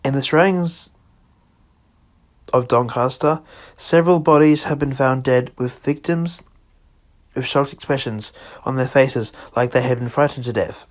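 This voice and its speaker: Amateur emergency announcer: man, medium-pitched, halting scripted delivery with self-corrections. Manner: halting, stumbles over the script and corrects himself. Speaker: radio emergency announcer in a fan-made scenario.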